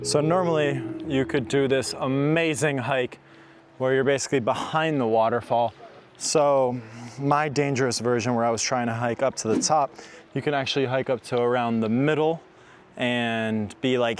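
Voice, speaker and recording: A man's voice: mid-range voice, fairly even intonation, normal speed. A man chatting casually and informally as a travel vlogger out on a hike. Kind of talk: casual chat while filming a hike. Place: outdoors on a mountain hike. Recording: clear outdoor ambient noise. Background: outdoor ambient noise.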